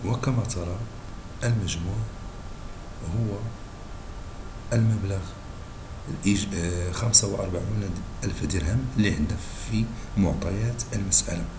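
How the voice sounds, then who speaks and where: Man, very low voice, Moroccan accent, husky, hoarse with a cold, patient math teacher. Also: background noise. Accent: Moroccan Arabic. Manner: slow and patient, checking in with listeners. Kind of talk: screen-recorded math lesson.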